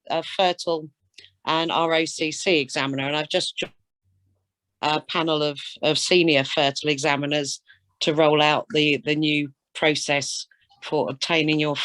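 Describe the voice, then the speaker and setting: A woman's voice: low voice, a bit bright, dry recording. A British woman getting over a cold, introducing herself and chatting casually in a hesitant, self-effacing way. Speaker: air traffic controller, flying instructor and radio examiner.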